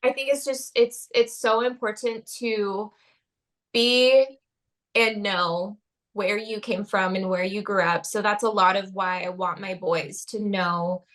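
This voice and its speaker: A young woman talks earnestly as a podcast guest. Her tone is heartfelt, and her speech is loose and conversational, full of "um" and "like." Her voice sits at an ordinary middle pitch. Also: steady tone, a little slow, a bit bright. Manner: earnest, heartfelt, with frequent fillers like "um" and "like". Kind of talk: casual conversational interview.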